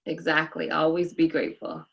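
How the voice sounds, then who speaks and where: Woman host, slightly low pitch, upbeat interview tone. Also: monotone, moderate pace, creaky, fairly bright tone, lo-fi sound. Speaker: podcast host. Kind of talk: interview with a scripted guest introduction.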